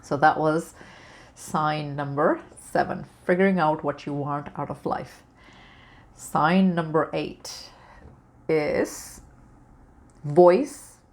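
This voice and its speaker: A woman with a very low, deep voice and a gravelly creak, speaking with a Canadian accent touched by a British-Australian undertone. She rambles through a casual spiritual talk, full of "you know" and restarts. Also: fairly even intonation, slow pace, pauses often, a little mellow. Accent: Canadian with a slight British-Australian undertone. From born outside Canada, raised in Canada. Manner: rambling, many fillers and restarts. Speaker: spiritual vlogger.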